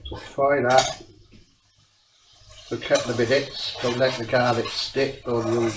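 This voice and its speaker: A man's voice: medium pitch, little pitch movement, a little slow, reverberant, background noise. A man from South Wales with a Welsh accent, stuffy and blocked-nosed from a cold, chatting casually while cooking, his voice rising at the ends of sentences. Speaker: home cooking channel host. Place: home kitchen.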